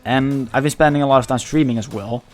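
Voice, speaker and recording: A man whose first language is Dutch speaks English with a Dutch accent in casual livestream chat. He talks haltingly, searching for words and often restarting. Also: mid-range voice, steady tone, soft background music. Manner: halting, searching for words, many repeated starts.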